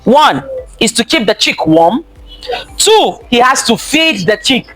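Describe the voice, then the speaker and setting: High-pitched, animated woman reading to children. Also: a little slow, faint music behind. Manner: expressive, questioning, repeats phrases for young listeners. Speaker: teacher reading a picture book aloud to children. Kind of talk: interactive children's story time read-aloud.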